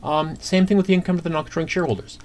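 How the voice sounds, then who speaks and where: A man giving an informal screencast accounting lesson, talking through calculations step by step in a conversational, halting way. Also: on the high side, fairly even intonation, a little mellow. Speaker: accounting instructor. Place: indoors at a computer. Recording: clear background noise, keyboard typing.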